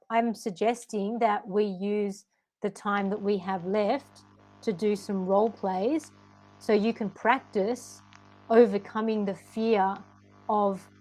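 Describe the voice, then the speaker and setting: A woman's voice: medium pitch, a little mellow, low-quality recording. A woman with an Australian accent, tutoring English in an online session and explaining patiently and encouragingly.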